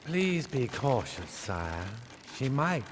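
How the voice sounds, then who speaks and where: Cautioning archbishop, grave, cartoon fairy tale, lively pitch, noisy background. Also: male voice, slow. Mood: wary, warning. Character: suspicious, cautioning male archbishop. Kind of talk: animated fairy tale voice acting.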